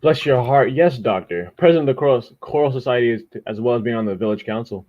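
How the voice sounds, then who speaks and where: A man's voice: medium pitch, fast, highs cut off, close to an everyday voice. A man playing a chatty, self-important village postman and bell ringer in a radio drama, talking in a folksy, boastful way. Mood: chatty, pleased.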